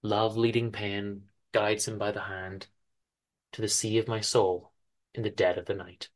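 A man's voice: fairly low pitch, little pitch movement, unhurried. A man giving a dramatic reading of his own story, with wry, informal asides.

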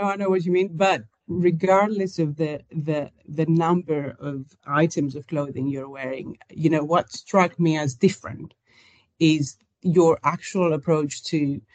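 A woman's voice: low voice, darkish tone, no echo. A woman hosting a conversational podcast interview, speaking in a hesitant way and often restarting her phrases.

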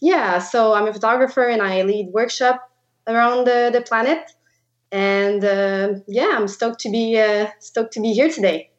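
A woman in her thirties from Quebec with a French-Canadian accent, native French, speaking haltingly as a podcast guest. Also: fairly high pitch, dull audio. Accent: Québécois French. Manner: halting, searches for words. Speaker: guest photographer. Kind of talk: podcast interview.

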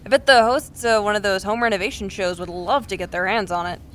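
A woman's voice: mid-range voice, fast, fairly bright tone, a little hiss or hum, close to an everyday voice. A woman playing a wry, practical, supportive best friend in her thirties, in naturalistic audio drama dialogue. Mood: casual. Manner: casual, wry, encouraging.

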